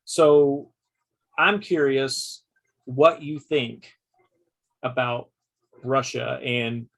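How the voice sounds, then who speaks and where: A man in his forties hosting a casual podcast chat, talking with halting restarts and frequent check-ins. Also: medium pitch, creaky, a bit bright. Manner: conversational, repeats "okay?" to check in, halting restarts. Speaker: podcast host. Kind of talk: casual conversation.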